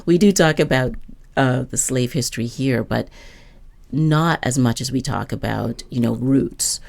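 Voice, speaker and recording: A woman's voice: rumbling low, a little slow, gravelly, darkish tone. A woman hosting a conversational interview, reflective and probing, building her questions gradually. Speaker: host, interviewer.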